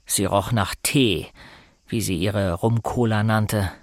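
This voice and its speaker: A man acting as first-person narrator in a literary radio play, recalling a bullied boyhood in a measured, matter-of-fact way. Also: lowish voice, steady tone, no room sound. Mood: reflective. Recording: dry, close studio sound.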